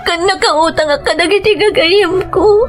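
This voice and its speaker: A woman playing a remorseful young wife who begs tearfully and haltingly for forgiveness in a melodramatic audio drama. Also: very high voice, faint music behind.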